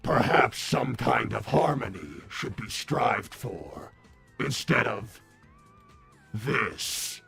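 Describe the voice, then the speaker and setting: A man voicing a cold, calculating robot villain in a formal, stilted debating manner, with a raspy voice. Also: medium pitch, animated, extremely slow, sharp tone, faint music behind, full character voice. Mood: cold, haughty. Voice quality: raspy, husky. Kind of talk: improvised in-character debate.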